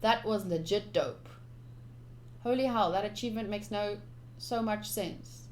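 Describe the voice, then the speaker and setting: A woman streamer doing a live let's-play of a visual novel, reading text aloud and reacting in an expressive, chatty way. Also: medium pitch, a little slow, slight background noise.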